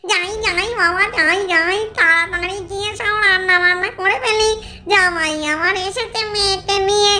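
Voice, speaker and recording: A woman's voice: very high voice, fairly even intonation, moderate pace, pushed and bright. A woman voicing a chatty, doting ghost mother-in-law who tells a cartoon story in a folksy, exclamatory way. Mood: bustling. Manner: folksy, exclamatory, addresses viewers directly. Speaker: storyteller and channel host.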